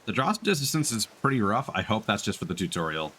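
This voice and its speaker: A man's voice: fairly low pitch, sing-song, fairly quick, bright, forceful tone. A man giving casual live gameplay commentary, musing and thinking aloud in a hesitant way. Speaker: streamer playing a video game. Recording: stream with game noise behind. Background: video game battle sounds.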